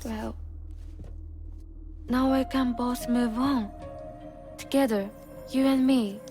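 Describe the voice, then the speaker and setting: A woman playing a tender, sincere young woman in a cinematic video game, speaking softly and earnestly. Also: on the high side, steady tone, slow. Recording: music behind the voice.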